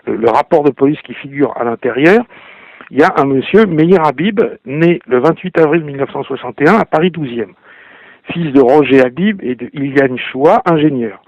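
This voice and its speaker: A man's voice: mid-range voice, dry recording. Man explaining in a dry, dull radio sound. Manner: measured, explanatory delivery with hesitations. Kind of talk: political commentary.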